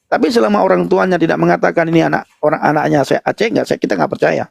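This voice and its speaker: A man's voice: a little high, fast talker, vocal fry, dry recording. A man in an informal live debate chat, taunting and repeating his points. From Indonesia. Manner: taunting, repetitive.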